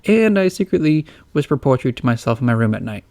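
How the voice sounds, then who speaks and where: A man voicing a shy, anxious teenage boy who talks in halting starts. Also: medium pitch, big pitch swings, a little mellow. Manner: hesitant, halting.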